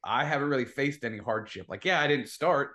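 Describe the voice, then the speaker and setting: Male, medium pitch, informal and emphatic, telling sports stories as a podcast guest. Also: fairly quick, pushed and bright. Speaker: guest, football video production staffer. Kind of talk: casual sports chat, storytelling.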